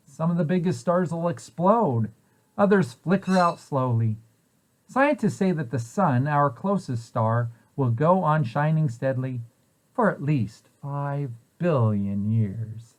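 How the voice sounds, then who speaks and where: A man with a fairly high-pitched voice reads a picture book aloud for young children. His delivery is explanatory, as an educator would give it, setting out questions and their answers. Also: expressive, a little slow, rough recording. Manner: explanatory, poses questions then answers them. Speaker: preschool site director reading aloud to children.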